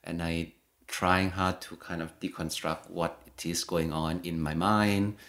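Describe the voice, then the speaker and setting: A young man speaks in a very low voice, stopping and restarting often with lots of fillers. His talk is loose and conversational, circling around his thoughts before landing on them. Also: a bit bright. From Thailand. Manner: hesitant, many fillers and restarts. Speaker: guest. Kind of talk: casual conversation.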